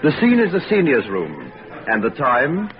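A man's voice: mid-range voice, huge pitch swings, unhurried. A male announcer giving formal, stately scripted narration. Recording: dull old radio broadcast.

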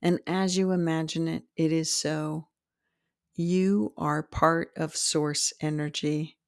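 A woman leads a guided meditation in a slow, soothing delivery. She speaks in short, deliberate phrases with pauses between them, and her voice has a gravelly creak. The recording is close and dry, with very little room sound. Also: deep voice, fairly even intonation, no room sound. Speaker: podcast host and meditation guide.

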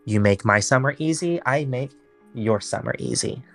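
A woman voicing a brisk, motherly female assistant camp director who is cheerfully overbooked. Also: rumbling low, animated, soft background music, heavily acted. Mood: sweet. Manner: fast, businesslike, cheerfully overbooked.

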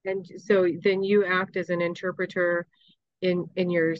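A woman hosting a podcast, gently asking questions and recapping her guest's answers. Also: on the low side, flat delivery, measured pace, no echo, muffled recording. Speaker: podcast host. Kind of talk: interview questions.

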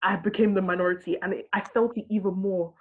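A woman's voice: mid-range voice, fairly even intonation, fast talker, background noise. A woman who works in healthcare, earnestly telling a frustrated personal story in a discussion. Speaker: healthcare worker. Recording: husky voice over a tinny phone-like line.

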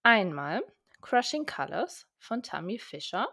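A woman's voice: mid-range voice, big pitch swings, unhurried, gravelly, pushed and bright, dry recording, clean studio sound. A woman co-hosting a book podcast, upbeat, reading out categories and summing up books in casual chat. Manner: upbeat, reads out lists and summaries. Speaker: podcast co-host.

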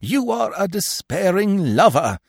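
Storybook blusterer played by a man: enormous pompous father, contemptuous, loud theatrical swoops, raised voice. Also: high voice, sing-song, unhurried, vocal fry, no echo, clean studio sound, pitched above the performer's own voice, exaggerated voice. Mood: bombastic, contemptuous. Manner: bombastic, contemptuous, declamatory. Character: huge, angry, pompous bourgeois theatrical father.

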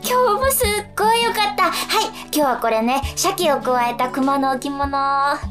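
A woman's voice: high voice, expressive, moderate pace, music in the background. An actress playing an eager, doting young woman in a radio drama, with a pushy cheerfulness.

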